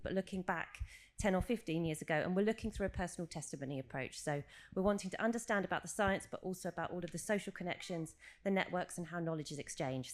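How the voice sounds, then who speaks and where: A woman making an announcement from the audience at a live event, articulate, enthusiastic and promotional in her delivery. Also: on the low side. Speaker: charity worker speaking from the audience. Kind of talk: prepared-sounding public announcement. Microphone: handheld audience microphone.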